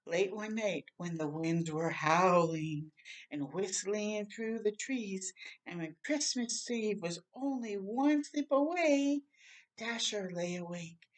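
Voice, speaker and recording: A woman reading a children's story aloud expressively, with animated asides and questions to young listeners. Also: mid-range voice, slow.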